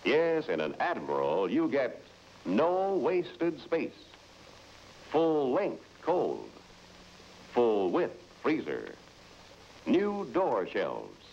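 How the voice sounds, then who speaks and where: A man delivering an emphatic vintage advertising pitch as a commercial announcer, on a muffled, noisy old recording. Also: wildly swooping pitch, drawn-out words, pauses often, darkish tone.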